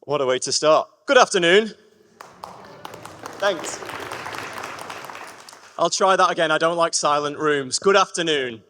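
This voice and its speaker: A man's voice: on the high side, unhurried, pauses often, pushed and bright, a faint crowd, slight background noise. Man presenting in an echoey hall. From Lancashire, England. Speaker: music education manager giving a talk. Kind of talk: public presentation to a live audience. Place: large reverberant room at a live event. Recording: echoey hall with long reverb.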